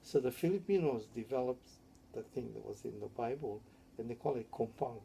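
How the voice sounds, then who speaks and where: A man's voice: mid-range voice, a little fast, gravelly, mellow, slight background noise. An older man from Hawaii, telling his life story in an oral history interview, rambling and tagging his sentences with "yeah?". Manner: rambling, tags statements with "yeah?".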